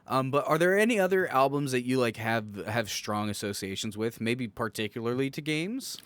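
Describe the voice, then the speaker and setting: Podcast co-host, young male, smooth clear mid-pitched voice, dry sound, informal and joking. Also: animated, moderate pace, a bit bright, no room sound. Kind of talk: casual banter and reminiscing.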